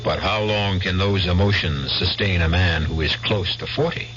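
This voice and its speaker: A man narrating an old-time radio drama as host, in a deliberate, portentous, dramatic manner. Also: low-pitched, fairly bright tone, slight background noise. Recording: muffled old radio broadcast. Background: music.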